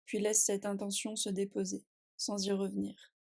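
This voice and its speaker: A woman guiding a relaxation meditation in a calm, soothing and unhurried manner, speaking in short phrases with long pauses. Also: mid-range voice, creaky. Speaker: yoga nidra meditation guide. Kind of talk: guided relaxation meditation, scripted.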